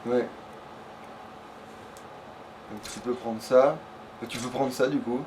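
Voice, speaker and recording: A man's voice: mid-range voice, fairly even intonation, slow pace. A man giving casual, slangy, sweary let's play commentary while chatting with a partner. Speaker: let's player. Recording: clearly noisy home recording.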